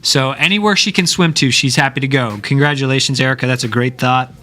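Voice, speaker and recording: A man's voice: mid-range voice, quick pace, sharp tone. A young man casually hosting a church youth livestream, chatting, joking about himself and reading viewer comments aloud. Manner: chatty, reads viewer comments, self-deprecating jokes. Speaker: youth stream host.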